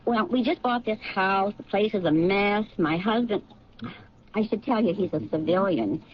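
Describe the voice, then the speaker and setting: A woman's voice: mid-range voice, normal speed, lightly acted. An actress playing a newly married policewoman in a 1970s radio drama, telling her story in a hesitant, apologetic way. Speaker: police officer. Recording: dull lo-fi 1970s radio recording.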